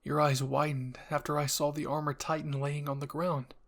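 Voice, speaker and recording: A man's voice: a little high, little pitch movement, normal speed, creaky. A man reading scripted fan fiction as a narrator and channel host.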